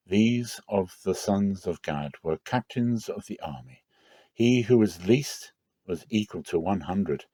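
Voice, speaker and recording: A man giving a measured, dramatic reading of scripture as a Bible reader. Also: sits low, a little mellow, no echo.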